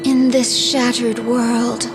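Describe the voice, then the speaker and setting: A woman's voice: a little high, little pitch movement, takes it slowly. A woman playing a tender, anguished young warrior princess in a fantasy video game, earnest and emotional. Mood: sorrowful. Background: music.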